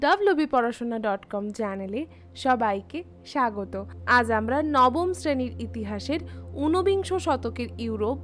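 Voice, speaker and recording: A woman teacher with a distinctly high voice and a West Bengal Bengali accent. She explains material in a scripted, student-directed way, addressing listeners directly. Music plays clearly behind her. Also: fairly bright tone. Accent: West Bengal Indian Bengali. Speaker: teacher, presenter of an educational channel. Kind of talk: scripted exam lesson and channel promotion, addressed to students.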